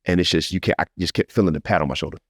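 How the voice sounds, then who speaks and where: Deep-voiced American man, heartfelt podcast host. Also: very fast, no room sound, clean studio sound. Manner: animated storyteller, slips into encouraging preacher-like address. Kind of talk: casual, heartfelt testimony and conversation.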